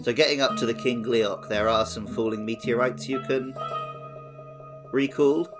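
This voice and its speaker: A man's voice: mid-range voice, steady tone, normal speed, over music. A man co-hosting a podcast, talking casually about video games. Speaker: podcast co-host. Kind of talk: casual discussion of a video game.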